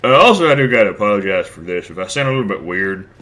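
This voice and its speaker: Man, stuffy from a cold, rambling, self-mocking, sharp-toned voice. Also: on the low side, big pitch swings, rapid-fire, slight background noise. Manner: rambling, crude, self-deprecating, many restarts. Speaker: let's play host. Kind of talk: casual gameplay commentary.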